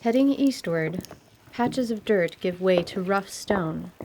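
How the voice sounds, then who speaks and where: A woman narrating a scripted fantasy tale in a measured, literary radio theatre style. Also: mid-range voice, animated, a little mellow. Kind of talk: scripted descriptive story narration. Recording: faint background noise.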